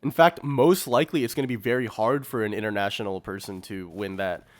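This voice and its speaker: A man's voice: on the low side, fairly even intonation, a little fast, no room sound. A man co-hosting a podcast, chatting casually in a slangy, opinionated way. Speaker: podcast co-host. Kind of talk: casual chat.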